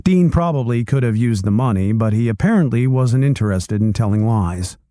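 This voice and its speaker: Guy reading a history book out loud, warm mellow voice, calm and measured. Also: medium pitch, no echo, studio quality. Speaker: narrator reading a book aloud. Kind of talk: read-aloud narration of a nonfiction book.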